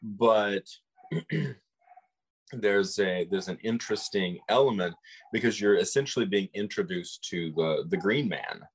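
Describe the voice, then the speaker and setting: Deep, husky-voiced man. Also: low-quality recording. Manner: measured, thoughtful, frequent restarts. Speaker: podcast co-host. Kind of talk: conversational discussion of folklore.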